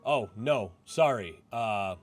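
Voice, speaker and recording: A man's voice: on the low side, animated, slow. A man voicing an awkward, hesitant but obliging male clerk in a visual novel. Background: game music.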